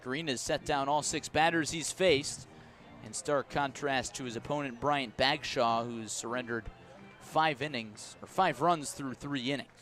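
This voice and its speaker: An American man doing live play-by-play baseball commentary for radio, measured between pitches and lifting on plays. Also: fairly bright tone, faint music behind. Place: outdoor ballpark broadcast.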